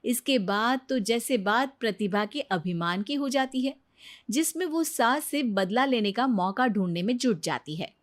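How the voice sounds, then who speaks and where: A woman's voice: a little high, lively intonation, measured pace. A woman storyteller reading a scripted moral story as narration.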